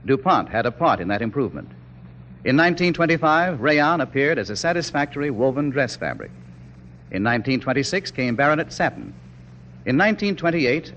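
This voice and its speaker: A man's voice: medium pitch, a bit bright. An American man reading scripted 1940s radio sponsor narration in an emphatic, oratorical way. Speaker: commercial spokesman. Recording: dull, faintly noisy 1940s radio broadcast.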